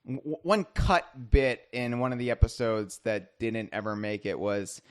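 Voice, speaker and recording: A man's voice: mid-range voice, normal speed, clear, resonant tone. A man hosting a podcast, chatting casually in a rambling, self-deprecating, joking way. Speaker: podcast host.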